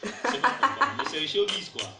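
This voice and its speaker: A man's voice: sits high, lively intonation, brisk, vocal fry, a crowd in the background. A man with a Togolese French accent giving casual, colloquial answers as a guest rapper in a radio interview. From Togo. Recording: echoey room, distant mic, faint music.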